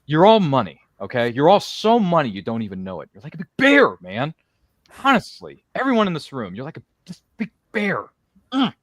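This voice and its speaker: A man's voice: on the high side, wildly swooping pitch, a little fast, fairly bright tone, no room sound, studio quality. A man hosting a casual livestream roundtable, effusive and slangy, often stammering and repeating himself with lots of energy. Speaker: podcast host.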